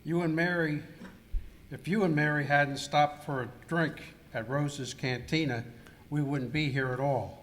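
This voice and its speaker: A man's voice: fairly high pitch, steady tone, measured pace, fairly bright tone. A man playing a dry, grumbling cowboy outlaw in an amateur live radio skit, recorded in an echoey, noisy hall. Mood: blaming. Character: grumbling wisecracking male cowboy outlaw Bob.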